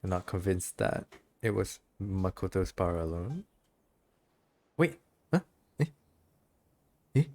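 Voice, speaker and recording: A man livestreaming a game, reacting casually and excitably and sometimes reading the text aloud. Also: low voice, slow, pauses often. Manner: excitable, giggly, stumbles when reading. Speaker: game streamer.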